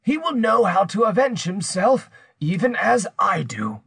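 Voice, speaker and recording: A man's voice: sits high, very animated, unhurried, creaky, a bit bright, husky, dry recording, studio quality, voice pushed up, full character voice. A man voicing a menacing, vengeful older uncle who blackmails and accuses vehemently in an audiobook story.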